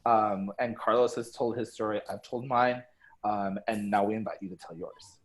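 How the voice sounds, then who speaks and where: A man co-hosting an interview, inviting the guest to share, talking in a relaxed way with fillers. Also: on the low side, moderate pace. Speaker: podcast co-host. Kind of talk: casual interview.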